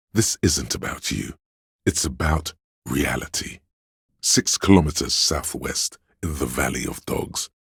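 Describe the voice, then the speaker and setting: A man with a gravelly, husky voice reading scripted literary narration for a video game in a measured, solemn, deliberate way. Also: extremely low pitch, dry recording, clean studio sound.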